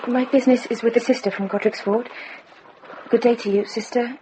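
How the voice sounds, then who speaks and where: A woman acts out a young medieval lady in a radio play, earnest and unbending. Her voice is fairly high and mellow, with faint noise behind it. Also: fairly even intonation, a little fast, lightly acted, rough recording. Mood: resolute. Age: young adult. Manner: resolute, intense. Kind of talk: radio drama acting.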